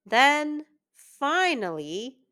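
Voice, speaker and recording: A woman reading a children's story aloud in deliberate, word-by-word phrases, as a reading teacher does for early readers. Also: high-pitched, big pitch swings, sharp tone.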